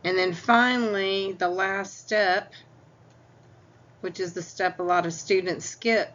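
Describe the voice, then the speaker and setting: Female math instructor, lower register, unhurried, stuffy-nosed; dull lo-fi lecture audio. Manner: patient, explains step by step. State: stuffy nose, clearing throat. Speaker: math teacher. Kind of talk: recorded lecture. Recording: dull lo-fi audio with faint hum.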